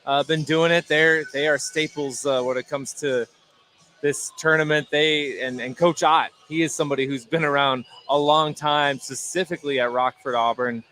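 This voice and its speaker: Halting American color commentator, slightly high voice. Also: male voice, fairly even intonation, pushed and bright. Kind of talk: live basketball analysis. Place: indoor basketball gym, courtside broadcast. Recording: faint gym noise behind.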